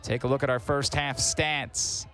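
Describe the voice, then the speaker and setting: A man's voice: mid-range voice, slight background noise. A man calling live play-by-play on a sports broadcast, with wry asides between plays. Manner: quick, excitable calls on big plays. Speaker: sports commentator.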